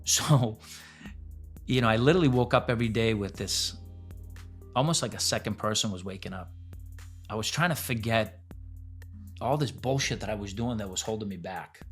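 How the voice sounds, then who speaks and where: A man's voice: fairly low pitch, normal speed, long pauses, soft background music. A man in his forties telling his business story as a podcast guest, blunt and casual, swearing freely. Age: 40s. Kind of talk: candid storytelling interview.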